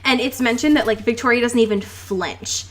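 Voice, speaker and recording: A woman's voice: a little high, normal speed, a bit bright, a little hiss or hum. A young adult woman co-hosting a podcast, chatting informally and recapping a story with plenty of swearing and filler "like"s. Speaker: podcast co-host. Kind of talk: casual chat, recapping a novel.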